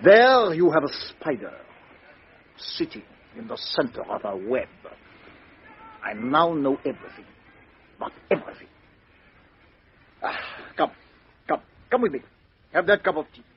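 An actor playing a fussy, precise middle-aged Belgian detective, in 1950s radio drama style. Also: male voice, on the high side, big pitch swings, a little slow, pauses often, slight background noise. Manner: precise, theatrical, drops to a hush. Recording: dull old radio recording.

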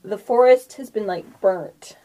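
A woman's voice: medium pitch, unhurried, warm tone, poor audio. A woman acting as game master, narrating a tabletop game casually and explaining things in an informal, conversational way.

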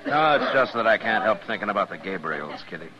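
A man playing a steady, laconic town marshal in a radio western, with an American accent and an understated, matter-of-fact delivery. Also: on the low side, a little fast. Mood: concerned. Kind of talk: 1950s radio western acting. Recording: dull old radio recording, murmuring background crowd.

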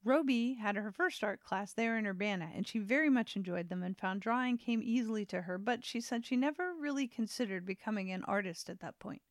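A woman's voice: mid-range voice, brisk, few pauses, no echo. Woman host narrating, close and dry. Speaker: host and interviewer. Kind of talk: conversational scripted narration.

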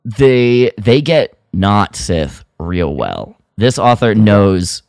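A man co-hosting a podcast, chatting casually and retelling a story in an animated way. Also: fairly low pitch, drawn-out words, no room sound, clean studio sound. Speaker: podcast co-host. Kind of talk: casual review chat about an audio drama.

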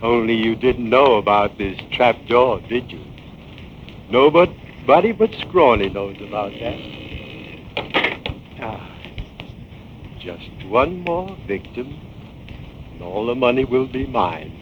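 An actor playing a scheming, murderous crook who whines and wheedles, in 1940s radio acting style. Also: male voice, mid-range voice, lively intonation, takes it slowly, pauses often. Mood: gloating. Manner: whining, wheedling, sinister laughing. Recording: dull old radio transcription with hiss.

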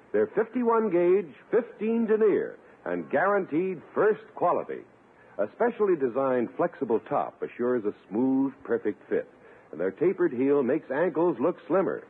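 A man's voice: mid-range voice, husky. An American man announcing in a polished, emphatic 1950s radio style, reading scripted copy. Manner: polished, emphatic salesman delivery. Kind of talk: 1950s radio advertising read and scripted narration. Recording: narrow, tinny 1950s radio recording.

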